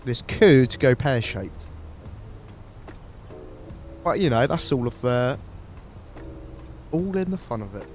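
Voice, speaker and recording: A man's voice: mid-range voice, expressive, slow pace, long pauses, soft background music. A man streaming a flight sim, chatting casually with viewers while flying, his nose stuffy from a cold. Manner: chatty, friendly, thanks viewers by name. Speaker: flight simulator livestreamer.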